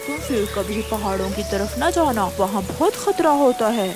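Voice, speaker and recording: A woman's voice: mid-range voice, animated, moderate pace, music in the background, close to an everyday voice. A woman voicing an earnest, concerned small woodcutter bird in a cartoon story. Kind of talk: animated moral story character acting.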